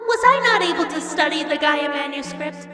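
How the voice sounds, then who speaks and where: A woman voicing a young female hedgehog possessed by dark energy, squeaky and theatrical in an audiobook drama. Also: lively intonation. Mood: boastful, taunting. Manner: theatrical, drawn-out gloating. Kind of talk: fan audiobook voice acting. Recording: echoey hall reverb, music underneath.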